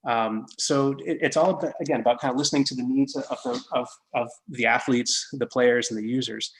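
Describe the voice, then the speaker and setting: A man from Massachusetts talks on a video call in a gravelly, creaky voice. He rambles and restarts, leaning on fillers as he explains his design work. He has no regional accent. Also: medium pitch, steady tone, a little fast, low-quality recording. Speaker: head of product development. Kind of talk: casual video call chat.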